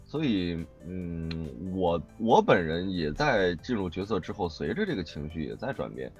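A man's voice: deep voice, sing-song, normal speed, muffled recording, soft background music. A man speaking as a voice actor guest in a casual interview, emphatically repeating his points.

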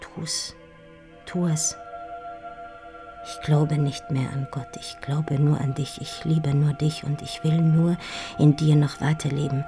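Grieving dying letter writer, low voice, subdued melody, creaky, halting whispers, feverish, radio drama monologue. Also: female voice, level tone, over music. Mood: whispered pleading. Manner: breathy, halting, repeating words in anguished whispers. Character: heartbroken dying woman writing a farewell love letter.

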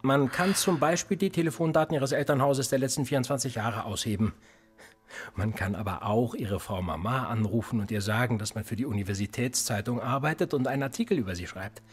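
An actor playing a police interrogator in a radio play, sardonic and menacing, needling with theatrical rhetorical questions. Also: male voice, medium pitch, fairly quick, breathy edge, natural voice. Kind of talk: naturalistic German radio play acting.

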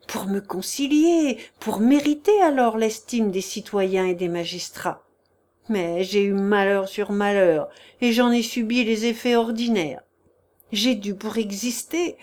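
A woman's voice: on the high side, a little mellow, natural voice. A woman reading the part of a male swindler who pleads, wheedles and begs for mercy in a classic novel. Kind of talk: audiobook character reading.

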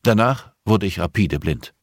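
A man voicing a composed, middle-aged blind teacher in an audiobook, patient and measured, with a dryly pointed way of explaining. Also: sits low, lively intonation, no room sound, studio quality, voice pushed up, full character voice. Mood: calm, reflective. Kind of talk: audiobook character dialogue.

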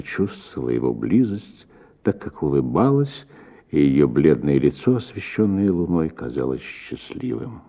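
A man reading a literary short story as expressive, theatrical audiobook narration for radio, with deliberate pauses and a husky voice. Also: low voice, dull audio.

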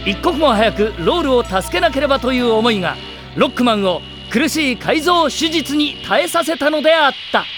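A man's voice: very high voice, lively intonation, normal speed, over music. A man acting as the narrator of an anime audio drama, delivering scripted, dramatic narration. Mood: dramatic, intense. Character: dramatic male narrator of a hero's tale.

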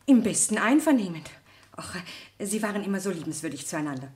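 A woman plays a talkative laundress in a radio drama. She is polite and eager, with a middling pitch that leaps about as she stresses her words. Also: sing-song, brisk, darkish tone, close to an everyday voice. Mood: eager, emphatic. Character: chatty, respectful French laundress giving witness testimony. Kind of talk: German radio play acting.

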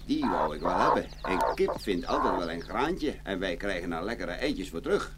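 A man's voice: sits low, big pitch swings, normal speed, heavy background noise. A man playing a cheerful, naive farmer in a children's fairy-tale audio play, chatty and hearty, full of exclamations. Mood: eager bartering. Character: cheerful, naive farmer, contentedly bartering away his goods.

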